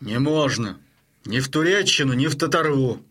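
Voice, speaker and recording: An actor playing a stern but hearty Cossack chieftain in an audio drama, with a rough voice and a weighty, commanding manner. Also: male voice, medium pitch, animated, normal speed, a bit bright. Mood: firm refusal.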